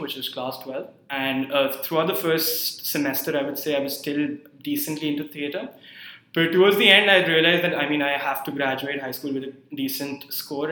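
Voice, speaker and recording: Man in his 20s, student guest, bright and forceful tone, joking at his own expense, roomy echo. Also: on the high side. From India. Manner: self-deprecating, laughs easily. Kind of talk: casual interview.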